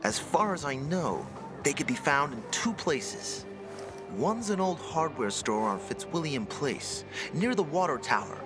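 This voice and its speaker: A young, eager but self-doubting apprentice inventor in a video game. The voice is fairly high and very animated, chatty and earnest. Music plays clearly behind it. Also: male voice, a little slow. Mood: earnest, hopeful. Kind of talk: video game cutscene acting.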